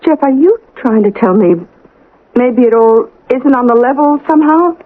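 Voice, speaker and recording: Anxious small-town wife, hesitant and proper, very expressive melody, narrow vintage radio play sound. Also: female voice, high voice, normal speed. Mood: anxious, puzzled. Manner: fretful, hesitant, questioning. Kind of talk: radio drama acting. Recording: narrow, lo-fi old radio broadcast.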